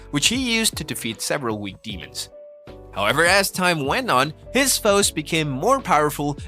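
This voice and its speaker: A man's voice: a little high, sharp tone. A man reading scripted narration for an explainer video. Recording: music bed under the voice. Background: background music.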